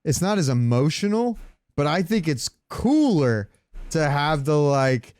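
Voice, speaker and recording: A man's voice: on the high side, very animated, measured pace, a little mellow, smooth, dry recording, studio quality. A man co-hosting a casual gaming podcast, opinionated and rambling, swearing freely. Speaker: podcast co-host.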